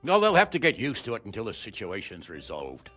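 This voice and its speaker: Brief: crude, outspoken lord in a game, low voice with a sharp, bright edge. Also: male voice, wildly swooping pitch, brisk, soft background music, heavily acted. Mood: blunt. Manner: blunt, coarse, wry. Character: blunt, crude middle-aged male lord. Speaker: lord of a castle. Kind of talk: video game cutscene acting.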